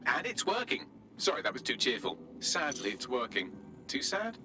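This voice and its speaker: An actor voicing a chipper, dryly sarcastic artificial intelligence assistant in a video game, arch and precise. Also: male voice, medium pitch, huge pitch swings, normal speed, background noise. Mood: dryly teasing.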